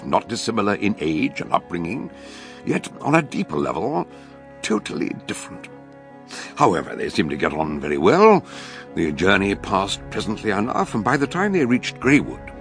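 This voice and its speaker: An actor playing a genial, reflective older male detective who narrates a radio mystery in a gravelly English voice with measured, expressive phrasing. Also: sits low, exaggerated voice. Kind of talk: radio drama storytelling.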